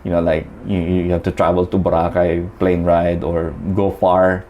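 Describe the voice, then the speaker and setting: Low-voiced man from the Philippines. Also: monotone, moderate pace, highs cut off, slight background noise. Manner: chatty, many fillers and restarts. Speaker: guest. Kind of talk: casual storytelling interview.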